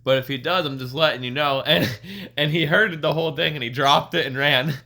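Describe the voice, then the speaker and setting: A young man in his twenties co-hosting a casual podcast, laid-back and swearing often, getting animated when he tells stories. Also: a little high, brisk, creaky. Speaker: podcast co-host.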